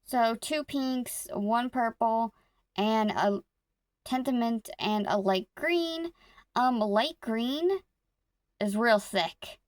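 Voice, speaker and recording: A woman vlogging casually about her crochet projects, rambling and correcting herself as she goes, her throat a little rough. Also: on the high side, slow pace, no room sound, clean studio sound. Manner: rambling, self-correcting, talks to viewers while working. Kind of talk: casual chatty vlog.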